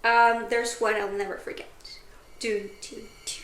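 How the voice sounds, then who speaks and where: Bright giggly young woman podcaster. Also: on the high side, little pitch movement. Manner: giggly, reads stories aloud haltingly. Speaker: podcast co-host. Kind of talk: casual chat. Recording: echoey room with faint noise.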